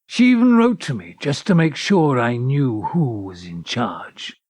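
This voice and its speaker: A man playing a wry, elderly man with a gravelly voice, narrating his memories in an audio drama. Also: medium pitch, huge pitch swings, unhurried, a little mellow, dry recording. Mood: wry, reminiscent. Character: wry elderly man recalling his past love affairs. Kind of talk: first-person dramatic narration.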